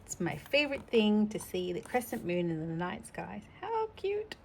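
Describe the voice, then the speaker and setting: A woman's voice: mid-range voice, wildly swooping pitch, moderate pace, creaky, a little hiss or hum. A woman reading a picture book aloud to a young child, expressively, with chatty asides. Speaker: storytime reader.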